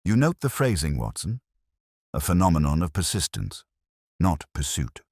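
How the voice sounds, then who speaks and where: A man's voice: sits low, sing-song, moderate pace, gravelly, a little mellow, slightly rough, no echo, studio quality, pitched above the performer's own voice, exaggerated voice. A man voicing an incisive, cool-headed detective in an audiobook story, speaking in precise, theatrical pronouncements. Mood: keen, analytical. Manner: precise, declarative, aphoristic.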